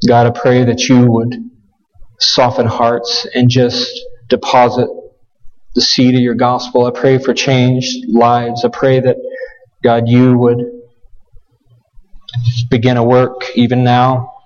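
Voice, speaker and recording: A man's voice: mid-range voice, monotone, slow, long pauses, lo-fi sound. A man, preaching as a guest at a church, talking casually and humbly with restarts. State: scratchy voice with a cough. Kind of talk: sermon. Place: church sanctuary.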